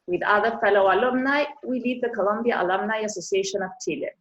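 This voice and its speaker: A woman hosting an online alumni event, reading prepared welcome remarks at a measured pace. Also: mid-range voice, fairly bright tone, lo-fi sound. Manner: measured, reads prepared introductions. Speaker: event host.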